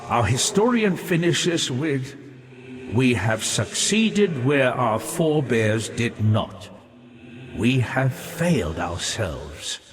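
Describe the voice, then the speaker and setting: A man's voice: mid-range voice, big pitch swings, slow. An actor voicing a scholarly, ghostly male librarian in a fantasy game, speaking in an erudite, florid, musing manner with fascination and melancholy. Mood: grave, troubled. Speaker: non-player character in a fantasy game. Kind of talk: theatrical game dialogue, reading and translating old texts. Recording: long hollow reverb, noticeable background hiss.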